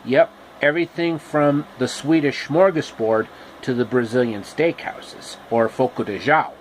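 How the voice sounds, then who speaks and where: A man telling a story casually and off the cuff, in a halting way with pauses and repeats. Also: medium pitch, slight background noise, rough recording.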